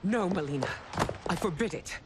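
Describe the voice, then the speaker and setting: A woman's voice: fairly low pitch, animated, moderate pace, lightly acted. A woman playing a commanding, grieving empress and mother in a video game, imperious and emotional. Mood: alarmed, commanding. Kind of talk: dramatic video game cutscene acting. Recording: very noisy background.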